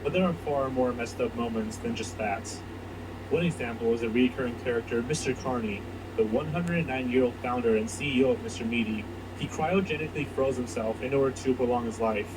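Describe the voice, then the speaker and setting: Male narrator, medium pitch, scripted countdown read, distant playback with clear noise. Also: brisk. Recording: distant, noisy playback of a video.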